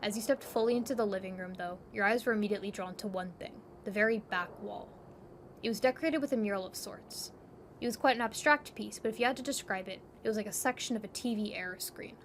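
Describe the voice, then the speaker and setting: A woman with a medium-pitched voice narrates an audio drama in the second person. It is scripted, descriptive storytelling. Clear noise sits behind her voice. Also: quick pace.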